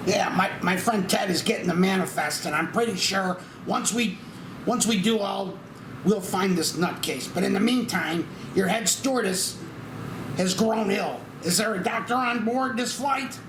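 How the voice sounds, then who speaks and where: A man's voice: sits high, moderate pace. A man playing an earnest, anxious airline crewman in a comic table read, stammering and hesitant. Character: flustered, earnest airline crewman seeking help. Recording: echoey room, noticeable background noise.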